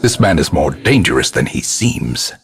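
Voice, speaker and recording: An actor voicing a grim, guilt-ridden old ancestor who narrates a gothic horror game in solemn, ornate, theatrical phrases with a husky voice. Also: male voice, extremely low pitch, big pitch swings, full character voice. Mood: grave, contemptuous. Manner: solemn, ornate, deliberate dramatic delivery.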